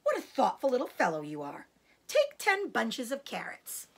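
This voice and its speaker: A woman's voice: on the high side, wildly swooping pitch, moderate pace, pitched above the performer's own voice, full character voice. A woman voicing a cocky, gleeful, bossy male fox father in a storybook, with a showy, exclamatory delivery. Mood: surprised, approving.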